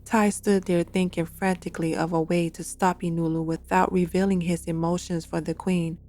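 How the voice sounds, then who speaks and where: A woman narrating her own dark fantasy audio drama in a steady storytelling style. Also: fairly low pitch, moderate pace. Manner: steady storytelling, voices every character. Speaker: narrator and author.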